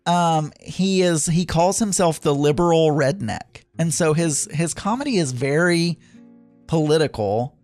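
A man's voice: on the high side, measured pace, a little mellow, no echo, faint music behind. A man with a Southern accent chatting casually as a podcast host, rambling through his weekend with frequent fillers and self-corrections. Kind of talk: casual chat.

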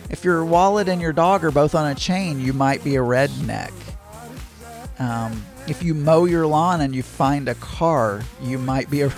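Chatty Southern man, higher voice. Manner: rambling, chatty, frequent self-corrections. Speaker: vlogger. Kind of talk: casual chat.

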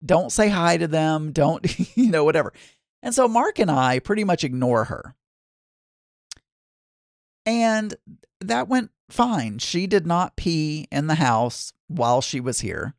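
A man's voice: on the high side, expressive, takes it slowly, vocal fry, no echo, clean studio sound. A man with a Southern accent chatting casually to viewers, rambling and often correcting himself mid-sentence. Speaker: vlogger. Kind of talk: casual chat.

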